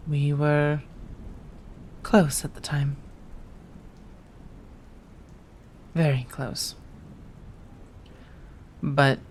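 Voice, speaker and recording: A woman playing a remorseful, tender vampire in an ASMR roleplay, speaking softly and haltingly, often slipping into a whisper. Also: deep voice, slight background noise. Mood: somber, reflective. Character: remorseful, tender female vampire rescuing her beloved.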